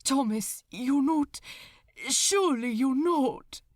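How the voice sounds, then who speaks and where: A woman voicing a gentle, devoted male angel in a story reading, emotional and faltering, with old-fashioned politeness. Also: sits high, animated, takes it slowly, no echo, studio quality, voice pushed up. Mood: anguished, pleading.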